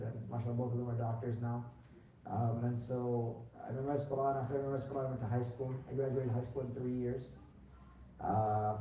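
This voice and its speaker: A young man born in Pakistan and raised in the United States answers interview questions about himself in a relaxed, rambling way, with many fillers and restarts. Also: medium pitch. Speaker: imam candidate being interviewed. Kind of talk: casual spoken interview answers. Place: large echoey mosque hall. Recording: very echoey hall, distant mic, faint noise.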